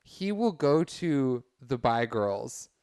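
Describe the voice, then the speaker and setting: Smooth, close-sounding young man. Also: medium pitch, very animated, slow, a little mellow, dry recording. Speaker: podcast panelist. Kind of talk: casual comedic panel chat.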